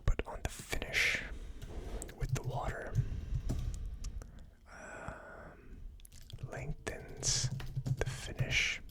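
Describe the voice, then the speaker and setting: A host giving a whispered ASMR tasting commentary in a breathy, unvoiced whisper, musing aloud in a slow, tentative way. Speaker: whiskey tasting video host.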